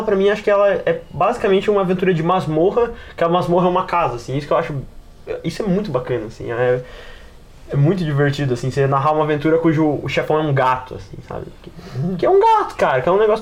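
A man with a Brazilian accent hosting a casual podcast discussion in a chatty, slangy, rambling way. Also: fairly high pitch. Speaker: podcast host and game master.

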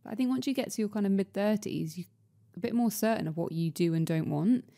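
A woman's voice: medium pitch, quick pace, gravelly, dark tone. A woman in her thirties chatting casually as a podcast co-host. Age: 30s. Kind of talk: casual chat.

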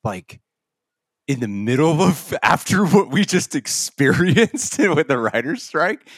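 A man's voice: on the high side, sing-song, takes it slowly, no echo, clean studio sound. A man co-hosting a podcast, talking in a loose, rambling way with frequent laughs and restarts. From United States. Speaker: podcast co-host. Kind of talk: casual chat.

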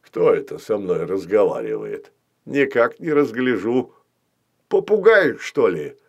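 A man's voice: lowish voice, wildly swooping pitch, unhurried, creaky, lo-fi sound, exaggerated voice. A man voicing a huge, good-natured mother dragon in a children's story, in a puzzled, ponderous manner. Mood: amused puzzlement.

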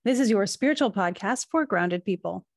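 A woman's voice: medium pitch, lively intonation, normal speed, no echo, clean studio sound. Chatty woman co-hosting a spiritual podcast. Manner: thoughtful, explains at length with many fillers and restarts. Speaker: podcast co-host, astrologer. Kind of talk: casual spiritual podcast chat.

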